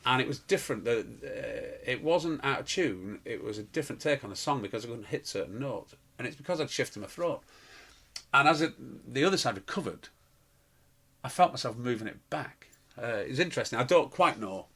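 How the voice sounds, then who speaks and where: A man's voice: medium pitch, animated, a little fast, a bit bright, breathy edge. A middle-aged man, a pantomime performer telling stories in an interview, rambling with fillers and restarts. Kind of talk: casual interview chat.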